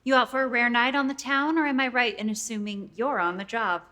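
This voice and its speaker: Pushy wry newspaper reporter, teasing, fairly high pitch, acted by a woman. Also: fairly even intonation, brisk, bright, forceful tone.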